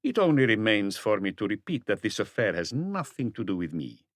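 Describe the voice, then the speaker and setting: A man voicing a suave, guarded crook turned businessman in an audiobook, with slow, smoothly polite, deliberate speech. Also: lowish voice, big pitch swings, no room sound, studio quality. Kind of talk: audiobook character dialogue.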